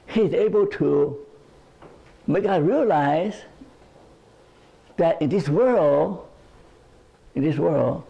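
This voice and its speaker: An older man giving an animated lecture to a group in a living room, with a lapel mic. He often repeats words and checks in with "right?". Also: a little high, gravelly, breathy edge, highs cut off.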